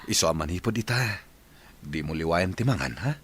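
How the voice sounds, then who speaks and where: A man playing a caring, earnest young husband in a radio drama, speaking gently and solicitously with an Ilocano accent. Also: low-pitched, lively intonation, brisk, breathy edge, exaggerated voice. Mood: tender, attentive.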